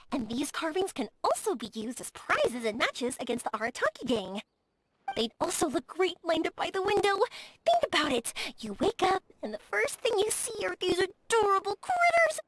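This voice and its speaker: An actress playing a bubbly, excitable young woman traveler in an anime-style game, gushing and eager. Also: extremely high pitch, moderate pace, no echo, heavily acted, low-quality recording. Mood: delighted. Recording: music playing behind the voice.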